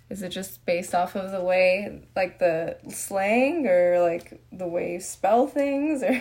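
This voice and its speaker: A young woman from Japan talking casually on a live stream. Her voice has a noticeable vocal fry. She chats easily and answers viewers as she goes. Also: lowish voice. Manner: chatty and relaxed, with frequent fillers and laughs. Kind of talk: casual live Q&A chat, reading comments.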